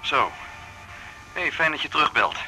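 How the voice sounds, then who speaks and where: A man's voice: a little high, animated, a little slow, bright, forceful tone, soft background music, full character voice, rough recording. An actor in a radio drama playing a charming man courting a woman over the telephone. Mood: charming, wooing. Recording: faint noise behind the voice.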